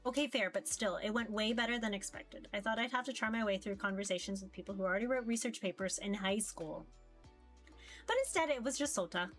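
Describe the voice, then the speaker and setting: A woman reading a visual novel aloud in an expressive first-person narration, occasionally stumbling over words. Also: mid-range voice. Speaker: VTuber reading a game aloud. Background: music.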